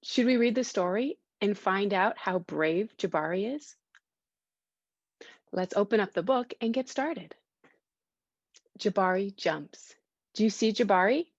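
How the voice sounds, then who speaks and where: Female children's story reader: expressive, child-directed, medium pitch. Dry, slightly muffled recording. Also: no echo. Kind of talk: read-aloud storytelling with questions to children. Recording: dull, lo-fi sound.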